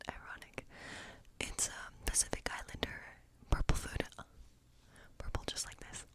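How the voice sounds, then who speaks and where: A woman playing a hospitable, eccentric woodland witch in an ASMR role play, speaking in a breathy whisper with a slow, coaxing, reassuring, rambling manner. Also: a bit bright, lightly acted. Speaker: ASMR performer.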